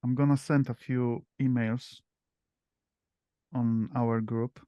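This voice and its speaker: A man with a Polish accent hosting a relaxed group video call, talking haltingly with many fillers and restarts. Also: medium pitch, no echo. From Poland. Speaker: meetup host. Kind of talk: casual online group chat.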